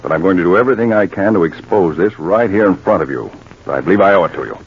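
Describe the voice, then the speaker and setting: An actor playing a firm, methodical counterespionage agent, grave and deliberate, in 1940s radio drama. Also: male voice, sits low, expressive, slight background noise. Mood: grim resolve. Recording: dull 1940s radio recording.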